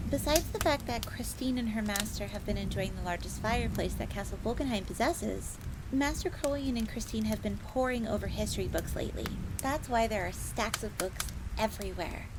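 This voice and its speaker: A woman voicing a friendly, devoted fox maid with a chatty, playful, coy manner in a roleplay audio drama. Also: fairly high pitch. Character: friendly, devoted fox maid speaking of herself in third person. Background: rain and crackling fire.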